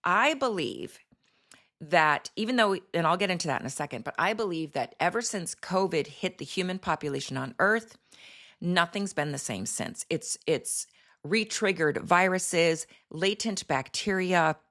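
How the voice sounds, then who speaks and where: Middle-aged woman doctor hosting a health show; deep, slightly hoarse voice, loose and chatty delivery. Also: animated, normal speed, fairly bright tone. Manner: chatty, explanatory, with fillers. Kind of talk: casual health talk to camera.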